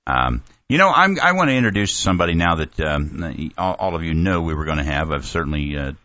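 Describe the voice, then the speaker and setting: A man's voice: rumbling low, pushed and bright. A man hosting a call-in talk radio show, chatting in a rambling, easygoing way. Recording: dull lo-fi broadcast audio.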